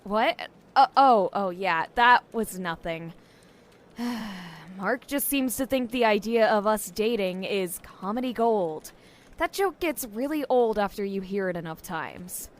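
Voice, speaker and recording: A woman's voice: mid-range voice, lively intonation, takes it slowly. An actress playing a wry, hardworking young woman investigator in an audio drama, casual and dry. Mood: mildly annoyed.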